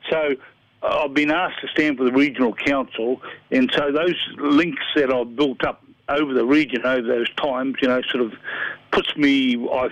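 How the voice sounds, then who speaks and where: A man's voice: mid-range voice, steady tone, normal speed, no echo. An older man with a New Zealand accent, speaking earnestly and plainly as a council candidate in a phone interview. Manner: earnest, plain-spoken, searching for words. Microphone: telephone. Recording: muffled phone line.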